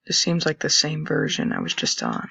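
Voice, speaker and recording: Raspy young man thinking aloud. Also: a little high, wildly swooping pitch, normal speed, sharp tone, no echo. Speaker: channel host. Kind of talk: casual commentary while browsing. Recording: dull lo-fi dry recording.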